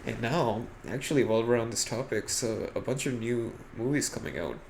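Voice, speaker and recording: A man's voice: medium pitch, lively intonation, fairly quick, creaky, a little mellow, a little hiss or hum. A man in casual, informal podcast conversation, freely giving his opinions as a co-host. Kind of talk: casual chat about films and games.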